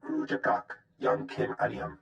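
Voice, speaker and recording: A man voicing a gruff, guttural alien creature speaking an invented tongue in a video game. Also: fairly low pitch, measured pace. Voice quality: guttural, gravelly. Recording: dull muffled echoing game audio.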